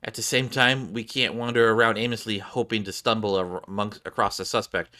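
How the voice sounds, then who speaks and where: A man's voice: on the low side, fairly even intonation, brisk, pushed and bright. A man reading game cutscene dialogue aloud, now and then stumbling over words and rereading them.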